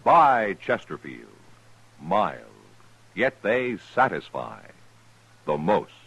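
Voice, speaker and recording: Man, American, 1950s radio ad announcer, slightly low husky voice, highly animated, persuasive, conversational, emphatic. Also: slow pace, highs cut off, faint music behind. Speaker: commercial announcer. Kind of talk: 1950s radio advertisement, smooth-selling pitch.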